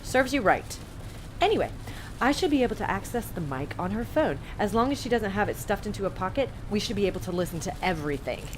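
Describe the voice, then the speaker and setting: A woman playing a quick-witted young surveillance agent in an audio drama, brisk and wisecracking. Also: medium pitch, sing-song, natural voice. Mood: cheeky, brisk. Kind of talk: scripted audio drama acting. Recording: clear noisy ambience behind voice.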